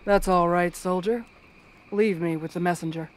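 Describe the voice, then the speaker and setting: A woman playing a stern, scheming royal guard captain in a fantasy roleplay, formal, measured and commanding. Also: lowish voice, steady tone, background noise, close to an everyday voice. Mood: smooth, formal. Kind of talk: scripted fantasy ASMR roleplay.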